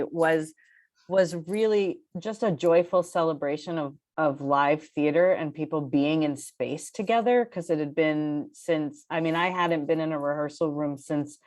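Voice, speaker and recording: A woman's voice: sits low, a little mellow. A woman speaking as a theater director on a panel, in a reflective, conversational way.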